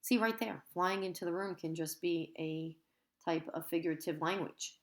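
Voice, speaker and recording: A woman with a low-pitched voice reads to young pupils as their teacher. She is expressive and often turns to address the children with short explanations. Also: moderate pace. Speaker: third-grade teacher reading aloud. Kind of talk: animated children's read-aloud with teaching asides.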